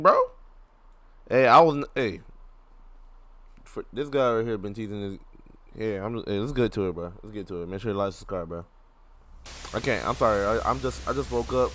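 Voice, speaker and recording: A man's voice: mid-range voice, animated, moderate pace, pauses often, fairly bright tone. A man giving a casual live gaming reaction, speaking in slangy exclamations and often repeating himself. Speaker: gaming video creator reacting to a trailer.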